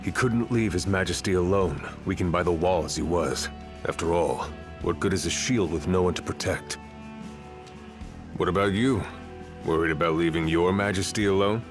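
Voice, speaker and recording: Very low-voiced older warrior mentor played by a man. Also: moderate pace, close to an everyday voice. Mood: grave, steady. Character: seasoned older male warrior mentor, gruff, steady. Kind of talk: dramatic video game voice acting. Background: loud music.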